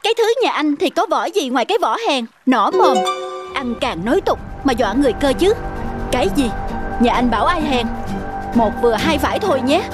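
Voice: high voice, very animated, fairly quick. Diễn viên lồng ếch hàng xóm hung hăng, giọng cao. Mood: indignant. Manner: combative, scolding. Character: quarrelsome, indignant adult frog neighbour. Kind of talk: cartoon voice acting. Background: music.

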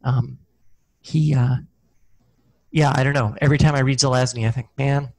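A man's voice: mid-range voice, fairly even intonation, normal speed, creaky, a little mellow, no room sound. A man in his forties speaks through a headset microphone from a small office, a guest author on a video call. He thinks aloud, hesitating and restarting often. His voice sits mid-range and sounds close and dry. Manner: hesitant, many ums and restarts, gestures with hands. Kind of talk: casual video call interview. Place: small office with corkboard, indoors. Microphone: headset mic on video call.

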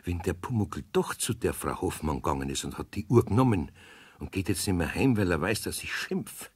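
A man playing an older, good-natured but fretful craftsman in a children's radio play, with a Bavarian accent and a husky, hesitant delivery. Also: low-pitched, lively intonation, brisk. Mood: worried muttering. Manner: hesitant, muttering, repeats himself.

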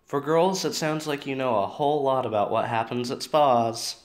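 A man's voice: mid-range voice, moderate pace, close to an everyday voice. A man playing a sarcastic, reluctant teenage boyfriend, with dry and skeptical delivery.

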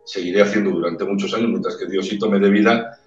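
A man's voice: low voice, steady tone, fast talker, lo-fi sound. A man in his forties from Spain with a Castilian accent, a singer chatting as an interview guest on a video call, searching for words and repeating them as he talks. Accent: Spanish from Spain. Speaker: opera-trained ranchera singer, guest. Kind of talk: casual interview chat. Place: indoor room with brick wall. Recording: echoey room, far from the mic, faint music.

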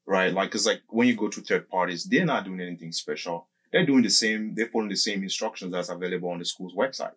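Male guest, thirties, studio interview. Deep-set husky voice, casual and explanatory, halting with plenty of filler words. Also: a bit bright. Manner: halting, often says "like" and circles back. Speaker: podcast guest. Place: small indoor podcast studio. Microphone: desk microphone close up, with headphones.

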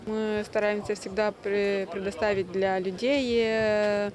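A woman's voice: medium pitch, little pitch movement, slow pace. A woman craft vendor giving a hesitant, stumbling street interview at an outdoor fair. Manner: hesitant, stumbling over words. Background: crowd on a street.

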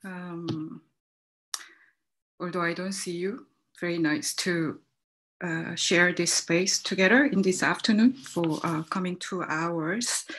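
A woman in her thirties speaks English with a Korean accent, her first language being Korean. Her voice sits a little low and she talks slowly, pausing and filling gaps as she searches for words. She is heard through a laptop mic on a video call from a book-lined home room. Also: fairly bright tone. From South Korea. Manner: thoughtful, hesitant, many fillers. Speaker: moderator. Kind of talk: opening remarks on a panel. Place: home study with bookshelves.

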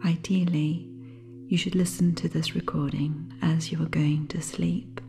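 A woman guiding a sleep meditation in a soothing, gentle, unhurried way, with a soft, breathy, half-whispered voice. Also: low voice, little pitch movement, slight background noise. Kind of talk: guided sleep hypnosis meditation, scripted.

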